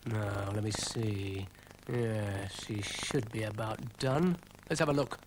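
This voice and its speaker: A man's voice: on the low side, slow pace, noisy background. Guy doing a grumbly know-it-all dog, fussy and muttery. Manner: fussy, self-important muttering.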